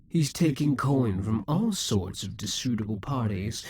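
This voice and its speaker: A man voicing a possessive, scheming male demon king in a scripted audio roleplay, speaking in slow, breathy half-whispers. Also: medium pitch, lively intonation, a little mellow. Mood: gloating, possessive.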